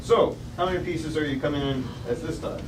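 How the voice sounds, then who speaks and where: A man's voice: on the high side, a little fast, close to an everyday voice. A man reading the part of a polite male space station radio operator, clipped and procedural. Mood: businesslike. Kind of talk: table read of a sci-fi script. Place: restaurant dining room table. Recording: distant, echoey, noisy restaurant.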